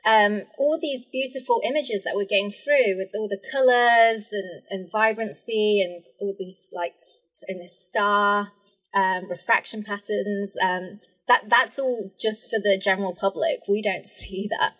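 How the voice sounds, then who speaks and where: A woman's voice: mid-range voice, steady tone, measured pace, a bit bright, poor audio. A woman speaking as a guest scientist in a casual, informal discussion.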